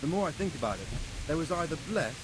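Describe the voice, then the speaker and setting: Earthy guardsman, slightly high voice. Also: very animated, fast talker. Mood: grumbling. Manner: colloquial, plain-spoken. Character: earthy, grumbling common castle guardsman. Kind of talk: medieval video game dialogue. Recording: hissy, rain and thunder behind.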